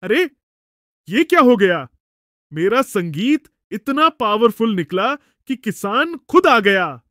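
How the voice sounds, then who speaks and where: A man voicing a cheerful, foolish male donkey who loves to sing, in a boastful, excitable cartoon manner. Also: high-pitched, slow pace, no room sound, studio quality, full character voice. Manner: boastful, excitable, sings loudly off-key. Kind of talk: cartoon voice acting.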